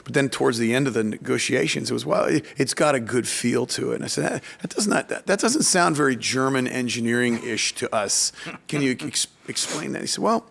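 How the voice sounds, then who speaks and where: A man with a noticeably creaky, gravelly voice at an ordinary male pitch. He speaks in a conversational podcast style, circling back over words as he explains things through anecdotes. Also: expressive, fairly bright tone. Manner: halting, repeats words while explaining. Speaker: economic development official, podcast speaker.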